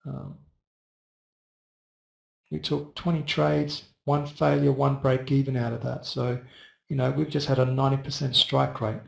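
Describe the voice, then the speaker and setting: Male host, loose and chatty, talks to viewers by name; gravelly voice, roomy echo. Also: mid-range voice, level tone, unhurried, pauses often. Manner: chatty, rambling, addresses viewers by first name. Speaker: trading webinar host. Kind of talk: casual live webinar talk answering viewer chat.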